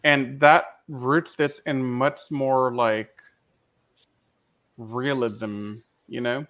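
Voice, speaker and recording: A man's voice: medium pitch, fairly even intonation, very slow. A man co-hosting a podcast, talking casually in a fan discussion. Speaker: podcast co-host. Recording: dull lo-fi audio.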